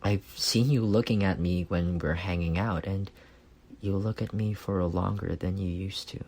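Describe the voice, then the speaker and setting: Casting: a man to play a reserved, analytical young man in ASMR romance; lowish creaky voice, hesitant, intimate delivery. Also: fairly even intonation, normal speed, darkish tone, slight background noise. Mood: calm, deliberate. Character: reserved, analytical young man confessing love to best friend. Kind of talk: intimate ASMR roleplay acting.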